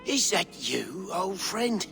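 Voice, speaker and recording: A man playing a weary, courtly figure in a video game cutscene, speaking with deliberate, theatrical, wry reproach in a creaky voice. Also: high voice, sing-song, heavily acted. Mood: wry, solemn. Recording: voice under loud background music. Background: cinematic game music.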